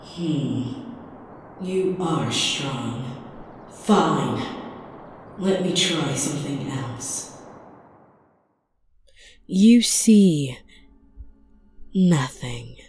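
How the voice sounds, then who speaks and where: A woman voicing a menacing ruler who conjures fears in an ASMR roleplay, speaking deliberately and theatrically, often slipping into a breathy whisper. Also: low-pitched, expressive, darkish tone, a little hiss or hum, heavily acted. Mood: sinister, taunting. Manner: slow, deliberate, theatrical, sinister. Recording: cavernous long reverb.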